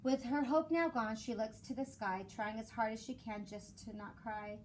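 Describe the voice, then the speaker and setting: Woman, high voice, schoolteacher, storybook reading style, measured and expressive, noisy recording. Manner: measured storytelling with rhymed cadence. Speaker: elementary school teacher. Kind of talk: expressive children's picture book read-aloud. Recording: clear background noise.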